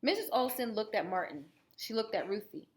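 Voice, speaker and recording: Animated woman reading a storybook aloud. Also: mid-range voice, fairly quick. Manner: animated, explanatory, guiding listeners through the story. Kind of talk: expressive storybook read-aloud with asides to children.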